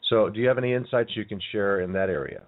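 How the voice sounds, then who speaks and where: A man's voice: fairly low pitch, fast talker. A man hosting a radio interview show who talks loosely, with fillers and restarts. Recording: phone-like radio sound.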